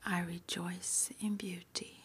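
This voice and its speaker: A woman doing an ASMR roleplay in a breathy, unvoiced whisper, with a gentle, unhurried manner. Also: fairly low pitch, flat delivery, a little hiss or hum. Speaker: ASMR roleplay performer playing a witch school interviewer.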